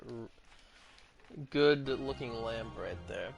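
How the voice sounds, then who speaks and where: A man's voice: mid-range voice, slow pace, vocal fry. A young man giving casual gameplay commentary, slangy and sweary, reacting in short bursts. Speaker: game streamer. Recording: game music behind. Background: game music.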